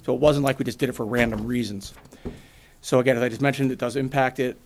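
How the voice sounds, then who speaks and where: A man in his forties with a New England accent, giving a brisk, plainspoken financial presentation to a town board and explaining with everyday examples. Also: mid-range voice, fairly even intonation, slight background noise. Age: 40s. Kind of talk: financial presentation at a public meeting.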